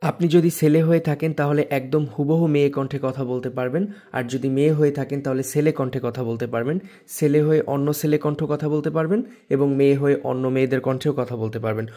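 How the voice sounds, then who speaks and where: A man with a Bangladeshi accent hosts a casual tech video. His voice is mid-pitched and soft-edged, with a relaxed, mellow tone. He explains and promotes a product directly to viewers. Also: a little fast.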